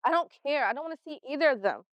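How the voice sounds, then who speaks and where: A woman's voice: high-pitched, lively intonation, very fast, dry recording. A woman playing a guarded, resentful sixteen-year-old schoolgirl in a stage play, curt and blunt. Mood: angry. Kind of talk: amateur stage play acting. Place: theater stage. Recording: muffled, dull stage recording.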